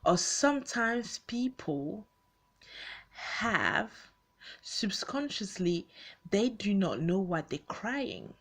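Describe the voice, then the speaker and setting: A woman's voice: medium pitch, big pitch swings, drawn-out words. A woman in her late twenties, from Belgium and born in Congo, talking unscripted to online followers in a conversational way, often rephrasing and posing questions. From born in Congo, grew up in Belgium, moved to the UK as a teenager. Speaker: video host speaking to followers. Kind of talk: unscripted personal talk to an online audience.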